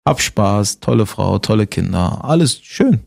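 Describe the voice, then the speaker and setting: Chatty comedian guest. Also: male voice, fairly low pitch, animated, measured pace, creaky, darkish tone. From Berlin, Germany. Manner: chatty, self-mocking, lots of fillers. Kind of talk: casual interview chat.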